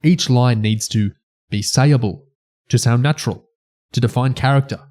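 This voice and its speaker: A man with a middle-range voice co-hosts a review podcast. He builds his points in short, halting phrases, talking through them as discussion. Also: mid-range voice, darkish tone. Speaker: podcast co-host.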